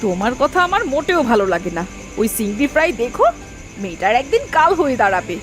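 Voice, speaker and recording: A woman's voice: a little high, sing-song, fast talker, music in the background. A woman voicing an exasperated, nagging village mother and wife in a moral-story cartoon, scolding and complaining. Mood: worried.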